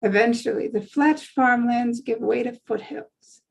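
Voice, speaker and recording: High-voiced woman narrating a script. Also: a little slow, vocal fry. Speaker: narrator reading stage directions at a table read. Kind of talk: scripted narration, screenplay read aloud.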